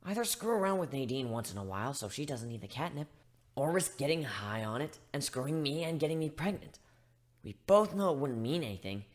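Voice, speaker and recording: A man's voice: mid-range voice, sing-song, a little fast, pitched below the performer's own voice, lightly acted. Man playing a blunt, coaxing female griffin. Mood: frank, coaxing. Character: frank, persuasive female griffin, casual and teasing.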